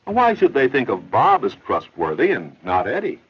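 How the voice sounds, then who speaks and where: A man's voice: mid-range voice, huge pitch swings, normal speed, husky. A man narrating an educational film in an earnest, explanatory storytelling manner. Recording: dull old film soundtrack with faint hiss.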